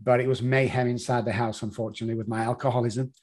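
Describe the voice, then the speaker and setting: A middle-aged man with a Manchester accent, a guest telling his life story in an animated, rambling way with many fillers. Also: medium pitch, fast talker. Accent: Mancunian English. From Manchester, England. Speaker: guest, addiction consultant. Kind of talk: conversational storytelling.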